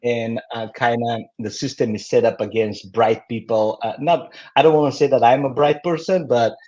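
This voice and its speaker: A middle-aged or older man with a Bulgarian accent, speaking candidly and haltingly as he tells his story as an interview guest. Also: medium pitch, fairly even intonation, dark tone. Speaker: guest, company founder.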